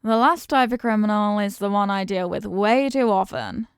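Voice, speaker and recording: A woman's voice: medium pitch, normal speed, smooth, no echo, studio quality, close to an everyday voice. A woman playing a confident, affectionate mafia boss in an intimate roleplay, teasing and streetwise, with slangy talk and pet names. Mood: contemptuous. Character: confident, doting female mafia boss, tough but affectionate. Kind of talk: intimate ASMR-style roleplay acting.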